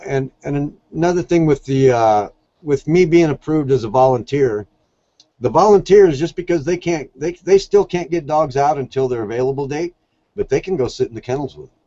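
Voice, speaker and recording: A man's voice: medium pitch, warm tone, dry recording, dull audio. A man talking casually as an interview guest, telling stories in a plain-spoken, earnest way. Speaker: podcast guest, shelter dog volunteer.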